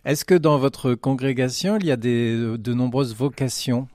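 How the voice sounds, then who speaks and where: A man's voice: mid-range voice, moderate pace, darkish tone, no echo, clean studio sound. A man hosting an interview and asking questions in a friendly, conversational way. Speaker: radio interviewer.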